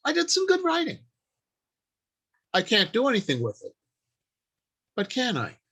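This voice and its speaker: A man's voice: very high voice, huge pitch swings, unhurried, pushed and bright, slightly rough. A man giving an informal instructional talk as a writer, explaining things plainly and stressing key points, partly improvising from notes. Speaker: writer and convention panel speaker.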